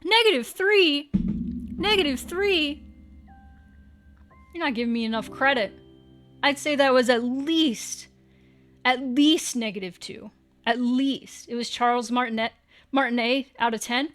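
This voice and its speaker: A woman's voice: high-pitched, a little slow, long pauses, fairly bright tone, faint music behind. A woman livestreaming, chatting casually with viewers in a playful, teasing way and swearing now and then.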